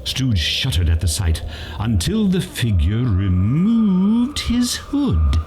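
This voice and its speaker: A man's voice: medium pitch, huge pitch swings, slow, darkish tone, soft background music. A man playing a wry, mock-dramatic narrator, reading scripted narration for a parody radio play.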